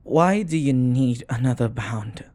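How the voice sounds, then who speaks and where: A man playing a jealous, flirty, devoted demon in an intimate audio roleplay, speaking formally in a silky, possessive, breathy way. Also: medium pitch, animated, measured pace, a little mellow, dry recording, natural voice. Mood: wary, jealous.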